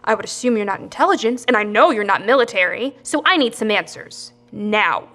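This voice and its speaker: A woman's voice: mid-range voice, expressive, brisk. An actress playing a handcuffed former military woman who speaks bluntly and presses hard for answers. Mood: demanding. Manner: quiet then blunt and demanding. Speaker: captive, former soldier.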